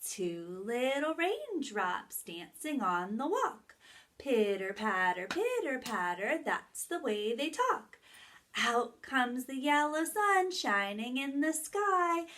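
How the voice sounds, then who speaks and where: A woman teaching young children in a story time, with a sing-song, animated way of talking straight to them. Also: high-pitched, slow. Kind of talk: children's story time lesson with songs and read-aloud.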